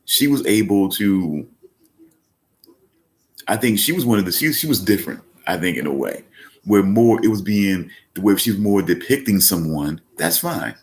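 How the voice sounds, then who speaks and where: Male podcast host, very low voice, loose rambling delivery, casual live-show chat. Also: expressive, normal speed. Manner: rambling, with many fillers and restarts; speaks up when emphatic. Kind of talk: casual live-stream talk.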